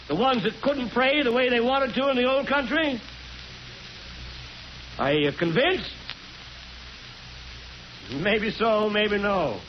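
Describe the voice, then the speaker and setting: A man playing a stubborn, folksy small-town mayor making a forceful speech in a 1940s radio drama, on a dull old recording. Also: very high voice, a little hiss or hum, exaggerated voice. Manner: folksy oratory, colloquial and forceful.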